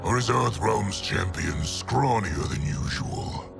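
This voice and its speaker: An actor playing a menacing warlord general in a video game, gravelly and contemptuous, with a taunting manner. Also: male voice, fairly low pitch, expressive, takes it slowly, over loud music, heavily acted. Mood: scornful. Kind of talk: video game villain acting.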